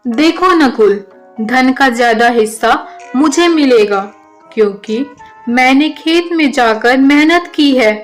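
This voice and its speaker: A woman's voice: high voice, takes it slowly, faint music behind. A woman voicing a hardworking, practical farmer brother in a children's cartoon story, plain and earnest. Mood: insistent.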